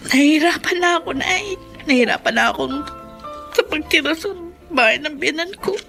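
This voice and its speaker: Radio drama young wife, Filipino, high-pitched, weary and aggrieved. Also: female voice, expressive, a little fast, gravelly, fairly bright tone, faint music behind, full character voice. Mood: distressed, complaining. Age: young adult. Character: weary, sulking young married daughter. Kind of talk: Tagalog radio drama acting.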